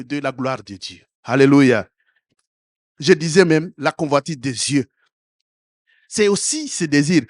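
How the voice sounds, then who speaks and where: Male pastor, not a native French speaker, high voice, slow deliberate sermon, repetitive restarts, swings from loud to hushed, dry close sound. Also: dry recording. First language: another African language. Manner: repeats words and restarts, swells to loud exhortations then drops quiet.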